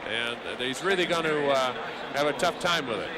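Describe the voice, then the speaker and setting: A man's voice: a little high, moderate pace, a crowd in the background. A man doing live radio play-by-play of a hockey game, wordy and wry, slipping conversational asides between the calls. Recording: live arena broadcast with background noise.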